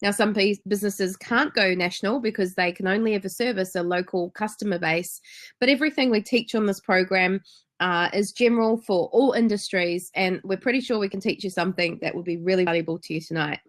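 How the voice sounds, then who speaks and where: A woman's voice: medium pitch, a little fast. A woman with a New Zealand accent hosting a business webinar in an enthusiastic, chatty way. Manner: enthusiastic, chatty, frequent ums. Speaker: webinar host.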